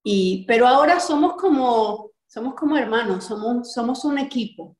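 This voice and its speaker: A woman's voice: on the high side, animated, moderate pace. A middle-aged Cuban American woman from Miami answering interview questions conversationally over a video call in an echoey room. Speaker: interview guest, artist and activist. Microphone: video call, sitting back from the camera.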